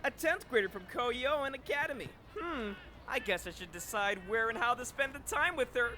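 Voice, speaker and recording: A very high, swooping male actor's voice for a boastful teenage schoolboy in an anime fandub. He is goofy, smug and hammy, heard over clear background noise. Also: lively intonation, moderate pace. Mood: gloating, elated.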